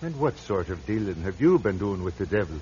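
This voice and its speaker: An actor playing a kindly older priest in a radio drama, gently chiding and good-humoured. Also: male voice, sits low, very animated, brisk, a little hiss or hum. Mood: calm, reassuring. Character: kindly, wry, older Irish parish priest. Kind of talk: old-time radio drama acting. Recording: muffled vintage radio recording.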